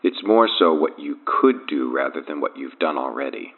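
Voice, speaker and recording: A thin phone line carries an arrogant, menacing cult leader from an audio drama. His voice sits extremely low and is husky, and he speaks in a measured, grandiose, taunting way. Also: lively intonation, exaggerated voice. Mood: calm menace. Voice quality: deep, gravelly, husky.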